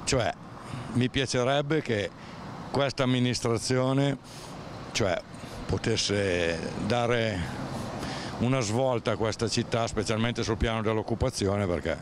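A man's voice: mid-range voice, takes it slowly. A man, answering a street interview in a weary, skeptical, hesitant way. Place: sunny street outdoors. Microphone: handheld interviewer mic. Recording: street noise behind.

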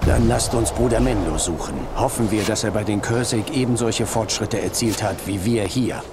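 A man voicing a wise, stern mentor in a video game cutscene, measured and authoritative. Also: fairly low pitch, lightly acted. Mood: resolute. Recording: game soundtrack with clear background noise.

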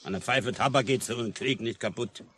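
A man's voice: medium pitch, brisk, a bit bright, natural voice. An actor playing an easygoing, folksy village militiaman in a 1950s German radio drama. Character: easygoing village militiaman, genial pipe-tobacco lover. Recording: noisy old mono radio recording.

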